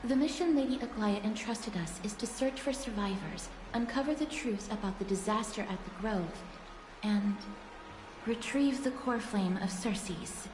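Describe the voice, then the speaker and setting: A woman's voice: mid-range voice, echoey room, background noise, close to an everyday voice. A woman voicing a polite, composed young woman. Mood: calm. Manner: polite, formal and measured. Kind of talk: video game voice acting.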